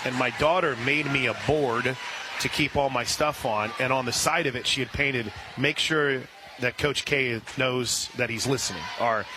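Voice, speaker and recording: An American man doing live radio play-by-play of softball, chatty and folksy between pitches. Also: normal speed. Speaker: play-by-play announcer. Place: outdoor softball stadium. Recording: noisy outdoor ballpark broadcast. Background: loud ambient ballpark noise.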